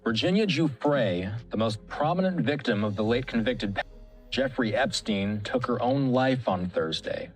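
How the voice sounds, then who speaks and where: A man's voice: medium pitch, animated, measured pace, faint music behind, poor audio. A man working as a TV news anchor, delivering breaking news live.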